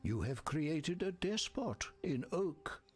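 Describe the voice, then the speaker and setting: Husky, solemn, wary camp leader. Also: male voice, fairly high pitch, big pitch swings, takes it slowly, soft background music, heavily acted. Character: grave, wary male camp leader, formally grateful. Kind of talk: video game voice acting.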